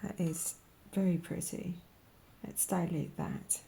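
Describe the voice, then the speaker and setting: A woman with a croaky voice from a cold, slowly musing aloud in a soft-spoken way as she tries out paints, sometimes dropping to a whisper. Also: lowish voice, fairly even intonation, slight background noise. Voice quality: croaky, gravelly. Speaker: art vlogger. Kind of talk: casual voice-over while swatching paints.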